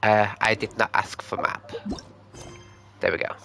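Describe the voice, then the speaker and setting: A man's voice: fairly low pitch, level tone, a little slow, creaky, sharp tone, faint music behind. A man streaming a video game, giving casual commentary and murmuring reactions to himself.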